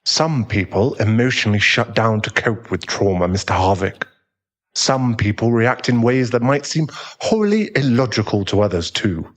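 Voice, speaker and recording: A man playing a calm, tactful therapist in an audio roleplay, speaking in a measured, formal way. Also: on the low side, close to an everyday voice. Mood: calm, reassuring.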